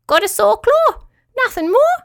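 A woman voicing a small, plucky male clawed creature with a squeaky, strained voice. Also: big pitch swings, a little slow, gravelly, heavily acted. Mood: perky.

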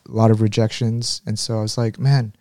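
Guy from the us, guest on an interview, low mellow voice, frank and chatty, restarts a lot, dry close sound. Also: flat delivery, a little fast, no room sound. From United States. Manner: frank, often restarts sentences. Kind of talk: candid conversational storytelling.

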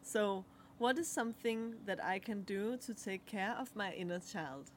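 A young adult woman whose first language is Danish, co-hosting a podcast and giving a relaxed card reading in a halting way, with many fillers and pauses. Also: mid-range voice, a little hiss or hum. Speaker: podcast co-host. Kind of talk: casual chat, card reading.

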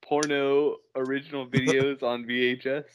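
Teasing man with a mid-pitched voice. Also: level tone, moderate pace, smooth, lo-fi sound. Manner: teasing, profane, acts out imagined remarks. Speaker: podcast co-host. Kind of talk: casual banter.

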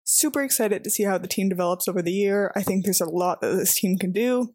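An eager young Canadian woman introduces herself and her new team. Her voice is mid-pitched and sounds close and dry, with no room echo. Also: quick pace, a little mellow, husky. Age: 20s. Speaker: volleyball setter. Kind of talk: prepared self-introduction.

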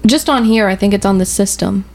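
A young woman bantering on a casual podcast, with a voice of middling pitch. She teases and roasts her co-host playfully, laughing often. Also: brisk, noisy background. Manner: teasing, sarcastic banter, laughing. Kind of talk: casual chat.

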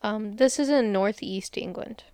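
A woman's voice: medium pitch, a little slow, mellow, clear, resonant tone, no echo. Woman cohost chatting away on a crime podcast, dry close mic, laughs a lot. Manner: chatty, laughs often, stumbles on place names. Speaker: podcast co-host. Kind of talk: casual podcast chat.

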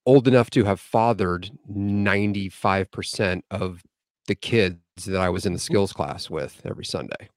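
A man's voice: low voice, normal speed, no echo, studio quality. A man in his forties telling a story on a casual podcast, laid-back and swearing freely. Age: 40s. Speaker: podcast co-host and new EMT.

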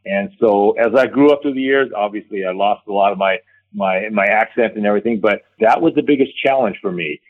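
Low husky guy, first language chinese, halting, sounds like he's on a phone line. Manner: soft-spoken, halting, repeats words. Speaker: guest. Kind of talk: casual interview answers. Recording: tinny phone-line audio.

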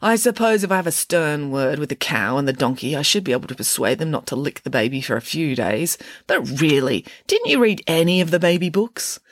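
A woman voicing a bossy, sharp-tongued, glamorous female pig from a storybook, scolding in a no-nonsense way. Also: low-pitched, animated, brisk, few pauses, no echo, studio quality. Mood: grumbling, stern.

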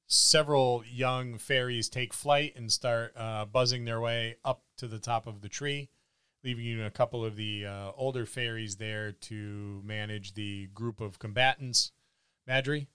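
A man narrating a tabletop fantasy game as game master, describing the action vividly and haltingly. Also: mid-range voice, steady tone, sharp tone, clean tone. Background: background music.

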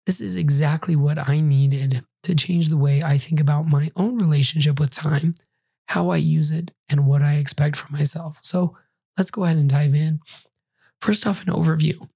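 Hoarse, husky man hosting a podcast. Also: a little high, fairly even intonation, moderate pace, mellow, dry recording. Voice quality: breathy, half-whispered in places. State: hoarse, recovering from losing his voice. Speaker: podcast host. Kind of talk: solo podcast book review.

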